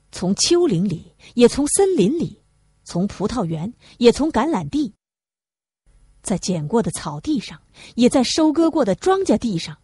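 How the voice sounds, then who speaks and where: A woman reads literary prose as an audiobook narrator. Her pitch moves expressively across each sentence, and her voice has a husky edge. The sound is dry, with little room to it. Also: a little mellow, dry recording.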